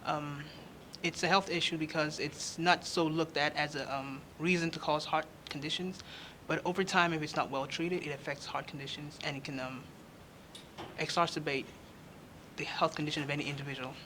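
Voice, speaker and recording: A young male nursing student speaking earnestly in an interview, pausing often with fillers. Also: on the high side, fairly even intonation, bright, forceful tone, slight background noise, poor audio. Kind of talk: on-camera interview. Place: state house conference.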